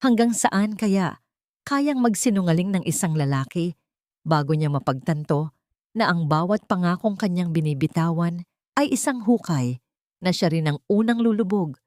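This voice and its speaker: A woman narrator reading a scripted dramatic story with solemn, deliberate phrasing. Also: lowish voice, lively intonation, a little mellow, no room sound, studio quality.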